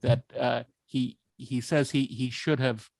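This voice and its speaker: A man with a gravelly, fry-heavy voice talks casually as a podcast co-host. He sounds hesitant, pausing and searching for words, in a dry recording with no room sound. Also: mid-range voice, fairly even intonation, a little mellow, no room sound. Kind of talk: casual panel discussion.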